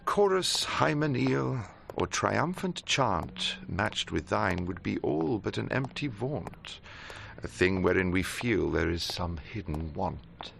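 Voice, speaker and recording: An actor playing a solemn, rapturous reciter of Romantic verse in a radio drama, at times breathy. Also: male voice, fairly low pitch, expressive, takes it slowly, fairly bright tone, slight background noise, full character voice. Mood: rapt. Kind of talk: slow dramatic poetry recital.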